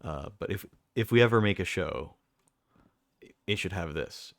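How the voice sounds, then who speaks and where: A young man with a deep voice, talking casually and rambling with lots of fillers and restarts as a streamer. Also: animated, unhurried, vocal fry, a bit bright, dry recording, clean studio sound. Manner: rambling, self-correcting, many fillers.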